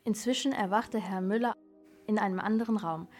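A young girl narrates an amateur crime story from a script. Her pitch is mid-range for a female voice, and faint music plays behind her. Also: steady tone, brisk, a little mellow. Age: child or teenager.

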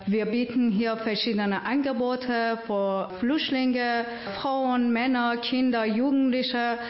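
A woman's voice: a little high, flat delivery, takes it slowly. A woman from Iran who works at an integration agency, answering interview questions in simple, earnest German with small grammar slips. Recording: roomy, dull lo-fi sound.

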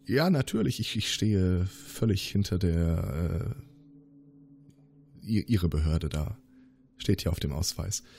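A man's voice: low-pitched, lively intonation, slow pace, vocal fry, long pauses, a little mellow, breathy edge, exaggerated voice. A man voicing a paranoid male scientist in a tabletop game, nervous and contradicting himself. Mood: evasive. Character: paranoid male scientist, conspiracy-minded, evasive. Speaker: player voicing a suspect.

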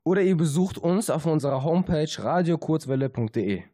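Mellow woman presenting radio, dry sound. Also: low-pitched, animated, a little fast, dry recording. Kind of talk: scripted radio sign-off.

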